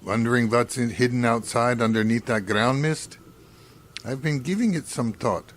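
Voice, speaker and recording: A man playing a wry, detached scientist in a science fiction radio drama, musing dryly and deliberately. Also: mid-range voice, a little hiss or hum, close to an everyday voice. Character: wry, detached, brooding male scientist doctor on a space crew.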